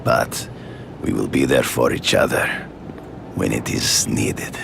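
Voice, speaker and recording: An actor playing a stern, seasoned hunter and mentor in a video game, speaking in measured, formal phrases. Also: male voice, rumbling low, steady tone, vocal fry, very noisy, exaggerated voice. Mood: solemn, persuasive. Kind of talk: dramatic video game acting. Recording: loud background music.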